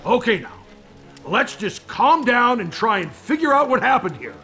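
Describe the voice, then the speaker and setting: A man's voice: very high voice, very animated, moderate pace, pushed and bright, soft background music, full character voice. An actor playing a frightened, pleading male captive in a video game cutscene, his voice strained with panic. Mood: nervous pleading. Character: dazed, frightened man held captive for a ritual.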